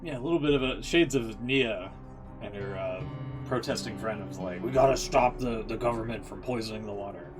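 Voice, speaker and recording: A man's voice: on the low side, a little fast. A man giving casual, hesitant, off-the-cuff commentary as a host reacting to readings. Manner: hesitant, rambling. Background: guitar music.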